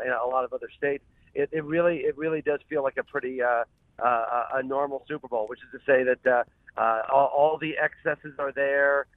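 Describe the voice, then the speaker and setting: Over a narrow, tinny phone connection, a man talks as a radio interview guest. He is chatty and emphatic, rambling through his answers in a mid-pitched, dry-sounding voice. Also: dry recording. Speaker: sports columnist, show guest. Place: calling from a car.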